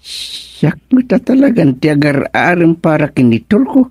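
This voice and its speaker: An actor with an Ilocano accent playing an excitable, scheming village official in a radio comedy, hemming and hawing as he talks. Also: fairly high pitch, unhurried, husky, dry recording, exaggerated voice. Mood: gleeful.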